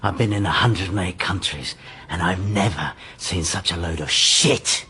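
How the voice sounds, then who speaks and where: A man playing a surly, embittered former sea captain in a radio drama, ranting gruffly and belligerently. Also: low voice, normal speed, pushed and bright. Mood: contemptuous, ranting. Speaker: actor in a radio crime drama.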